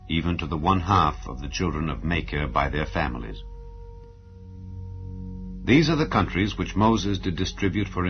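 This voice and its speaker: A man narrating the Bible as a solemn scripted reading, with measured, formal, declamatory phrasing. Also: very deep voice. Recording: dull lo-fi audio under music. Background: background music.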